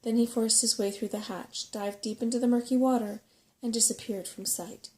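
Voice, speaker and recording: A soft-spoken woman reading a bedtime story in a gentle, unhurried ASMR style. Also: on the high side, steady tone. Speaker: audiobook-style reader.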